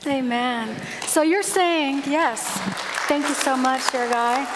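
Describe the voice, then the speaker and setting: A woman in her forties preaching a sermon at a lectern in a large church, telling stories in a gentle, measured way. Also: sits high, crowd noise, noisy background. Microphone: lectern microphone. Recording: long hall reverb.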